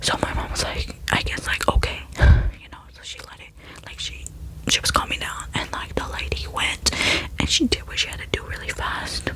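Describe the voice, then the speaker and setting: A young woman speaks in a soft, unvoiced whisper, as in an ASMR storytime. Her delivery is casual and rambling, full of "like" and "you know". The breathy whisper carries the whole narration. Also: normal speed, rough recording.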